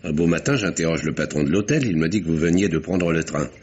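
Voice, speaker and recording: A man's voice: extremely low pitch, lively intonation, quick pace, a little mellow, breathy edge, exaggerated voice. An actor playing an earnest, insistent older uncle in an old French radio drama, speaking formally and articulately as he probes. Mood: probing. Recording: dull, noisy vintage radio recording.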